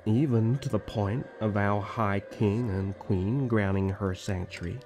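A man playing a flirtatious, teasing rival elf prince in a fantasy audio drama, with a smooth, mocking courtesy. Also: on the low side, unhurried, darkish tone, soft background music. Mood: sardonic.

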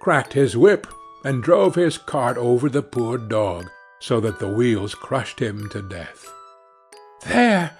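A man narrating a fairy tale as a scripted audiobook, in a measured, expressive storytelling manner. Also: mid-range voice, soft background music.

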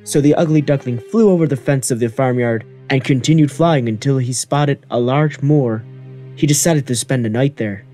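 A man's voice: mid-range voice, normal speed, mellow, faint music behind. A man giving scripted narration of a children's fairy tale.

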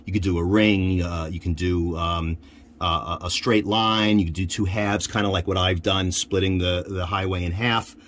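A man giving an informal hands-on equipment demonstration, haltingly thinking aloud as he handles the gear. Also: low voice, fairly even intonation, fairly bright tone. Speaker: radio hobbyist demonstrating equipment. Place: inside a military-style off-road vehicle. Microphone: handheld camera, one hand free. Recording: noisy handheld camera audio.

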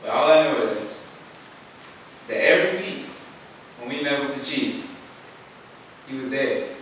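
A man's voice: mid-range voice, animated, unhurried, fairly bright tone. A young man speaks earnestly and plainly during public comment. His voice sounds distant and bounces around a very reverberant chamber. A faint hiss sits behind it. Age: young adult. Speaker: youth commission member. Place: council chamber. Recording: echoey far-off chamber audio.

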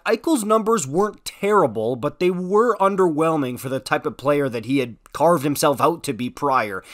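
A man with a slightly high voice narrates a sports opinion video. He talks conversationally and leans on his words for emphasis, with casual slang throughout. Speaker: sports commentary video host. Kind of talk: informal opinionated sports commentary, voice-over.

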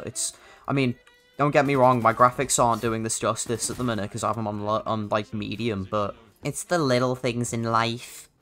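A man's voice: mid-range voice, a bit bright. A young man with a hybrid North London and Liverpool accent, giving chatty, rambling, slangy gameplay commentary. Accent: hybrid of North London and Liverpool English. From England (North London, grew up in Liverpool). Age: young adult. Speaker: gaming video creator.

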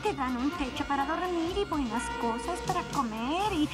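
A woman's voice: sits high, lively intonation, moderate pace. A woman voicing a tiny, sweet, naive female winged creature in a Latin American Spanish cartoon dub. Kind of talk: Spanish-dubbed cartoon voice acting. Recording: loud music behind the voice.

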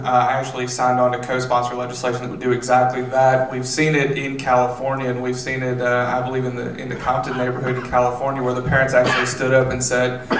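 Guy on a panel stage, gravelly flat voice, sounds far off in a big echoey room. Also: mid-range voice. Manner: measured, hesitant with fillers. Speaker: state legislator on a panel. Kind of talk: panel discussion answers. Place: theater stage. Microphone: handheld mic. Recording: roomy, distant, faint noise.

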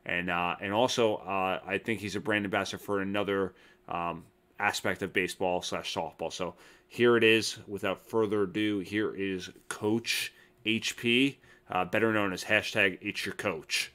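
A man from New Jersey hosting a casual interview podcast, relaxed and full of fillers, talking over a remote call. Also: sits low, moderate pace. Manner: relaxed, filler-heavy talk. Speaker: podcast host.

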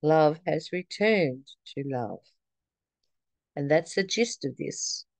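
A woman's voice: low voice, animated, slow pace, creaky, darkish tone, no echo. A woman reading aloud from a spiritual book and adding informal comments, reverent and emphatic, lingering over phrases. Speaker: spiritual study reader.

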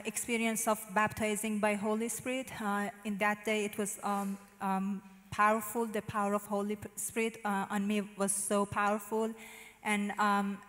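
A woman in her thirties with a Persian accent speaks with a level, narrow melody, pausing often on "uh" as she searches for English words. She holds a handheld microphone on a church stage, and her voice trails into the long reverb of a large hall. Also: mid-range voice. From Iran. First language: Farsi. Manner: hesitant, frequent "uh" fillers, non-native phrasing. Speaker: interview guest giving testimony. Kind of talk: personal testimony.

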